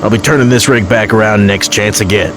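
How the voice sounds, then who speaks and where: A man's voice: fairly low pitch, steady tone, fairly quick, natural voice. A man playing a worried family-man trucker, plain-spoken and full of CB slang, in an audio drama. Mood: worried, resolved. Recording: noisy CB radio in truck cab.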